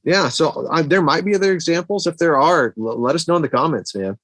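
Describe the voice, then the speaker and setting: A man's voice: a little high, a little fast, a bit bright, no room sound. A man co-hosting a podcast, chatting casually about movies in a laid-back, slangy way. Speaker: podcast co-host.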